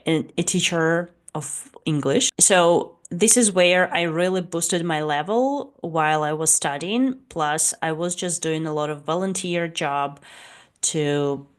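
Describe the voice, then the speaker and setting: A Russian woman in her late twenties who speaks English with a Russian accent and whose native language is Russian, introducing her vlog as an English teacher in a slightly shy, hesitant way with frequent pauses. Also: low-pitched. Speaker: English teacher and channel host. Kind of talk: casual talking-head vlog introduction.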